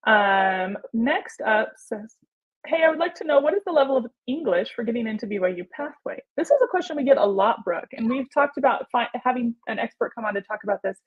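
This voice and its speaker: A woman's voice: on the high side, lively intonation, brisk, breathy edge, narrow, tinny audio. A woman casually hosting a livestream and reading out viewer questions.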